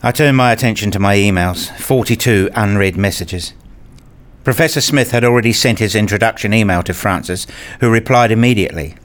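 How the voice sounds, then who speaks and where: A man's voice: fairly low pitch, fairly quick. A man narrating a scripted radio play in the first person.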